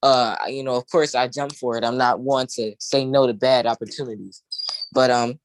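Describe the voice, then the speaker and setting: A young man, president of a youth council, speaking casually on a video call in an easygoing, halting way. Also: mid-range voice, steady tone, slight background noise. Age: young adult. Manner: easygoing, halting, with many fillers and restarts.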